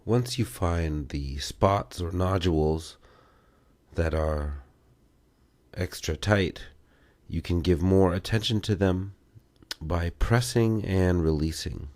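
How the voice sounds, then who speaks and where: A man giving guided meditation and self-massage instructions in a measured way, pausing between short phrases. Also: low-pitched, darkish tone, dry recording, studio quality.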